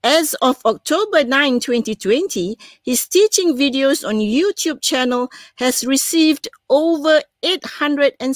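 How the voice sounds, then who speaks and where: Female moderator, Malaysian accent, fifties, fairly high pitch, measured scripted delivery, dry close sound. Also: dry recording. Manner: measured, formal. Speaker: session moderator introducing a keynote speaker. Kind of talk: formal scripted introduction, read aloud. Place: indoors on a video call.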